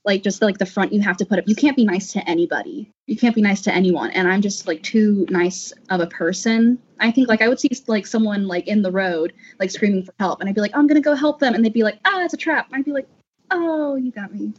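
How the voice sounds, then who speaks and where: A woman's voice: mid-range voice, quick pace, slightly rough. A woman chatting casually on a panel, often acting out imagined lines as she talks. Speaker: voice actor on a panel. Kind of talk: casual chat. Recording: dull lo-fi audio.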